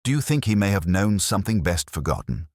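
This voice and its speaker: A man voicing a calm, courteous middle-aged police inspector who speaks gently and in a measured way. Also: sits low, dry recording, clean studio sound, voice pushed up. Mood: sympathetic. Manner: gentle, measured, quietly probing.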